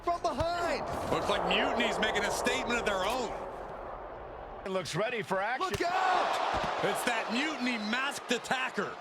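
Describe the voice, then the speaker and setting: A man's voice: extremely high pitch, sing-song, moderate pace, over a noisy crowd. A man acting as an urgent, emphatic pro wrestling TV commentator in a video game, calling the action play-by-play. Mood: urgent, excited. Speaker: sports commentator. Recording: very noisy arena ambience.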